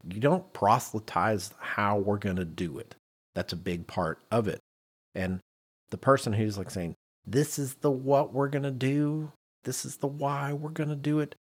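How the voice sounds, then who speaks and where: Male podcast co-host, low register, conversational but emphatic explainer who repeats points for effect. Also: breathy edge. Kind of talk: conversational podcast discussion on leadership.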